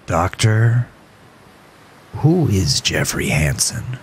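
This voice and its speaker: A man voicing a polite, uneasy patient who speaks haltingly in a horror story. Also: low voice, sing-song, slight background noise, full character voice. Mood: uneasy, guarded.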